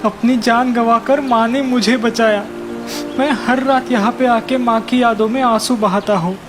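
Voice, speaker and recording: A woman voicing a gentle, wise young magical deer in a children's cartoon, earnest and gently chiding. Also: fairly high pitch, steady tone, moderate pace, music in the background, lightly acted. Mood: sorrowful. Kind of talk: Hindi children's cartoon dialogue.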